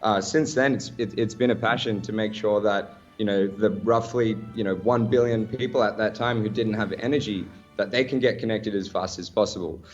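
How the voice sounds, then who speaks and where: A man's voice: fairly low pitch, fairly even intonation, normal speed, few pauses, lo-fi sound, soft background music. An Australian man in a casual radio interview as a guest, talking in an upbeat, slangy way. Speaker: guest, startup founder.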